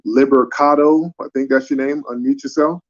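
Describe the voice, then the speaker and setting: A man runs a live call-in panel, steering callers in a brisk, commanding way. His voice sits at an ordinary middle pitch. The sound is dull and lo-fi, like a streamed call. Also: fairly even intonation. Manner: brisk, calls people up and scolds them to slow down. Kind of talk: casual moderating.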